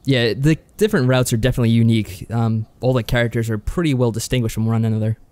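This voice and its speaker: A man co-hosting a podcast in casual review chat, agreeable and measured in his wording. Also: medium pitch, steady tone, a little mellow, no room sound. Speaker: podcast co-host.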